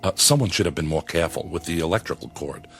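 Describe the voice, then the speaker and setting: An actor playing a meek, nervous office worker in a radio drama, hesitant and deferential. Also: male voice, low voice, fast, vocal fry, fairly bright tone, soft background music. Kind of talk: old-time radio style drama acting.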